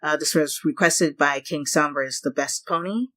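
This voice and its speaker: A man's voice: fairly high pitch, normal speed, pushed and bright. A man hosting a reaction video, giving casual commentary and short interjections.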